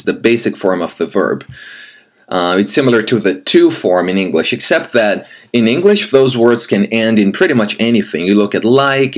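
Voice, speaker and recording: Brazilian man in his 30s, native Portuguese speaker, lowish voice, teacher addressing viewer with filler pauses. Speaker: language teacher. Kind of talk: recorded teaching application and sample lesson.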